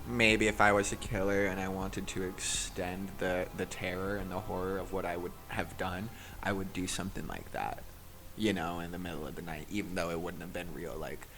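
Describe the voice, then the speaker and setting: A man's voice: deep voice, little pitch movement, normal speed, a bit bright, poor audio. A man co-hosting a horror show, reading stories and chatting about them. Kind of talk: story reading and casual commentary. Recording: music bed behind the voice. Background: background music.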